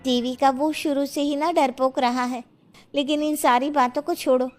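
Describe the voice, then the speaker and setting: Higher-voiced motherly village mother-in-law by a woman. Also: steady tone, normal speed, a little mellow, faint music behind, natural voice. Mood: worried. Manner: concerned, motherly. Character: caring, worried older village mother-in-law.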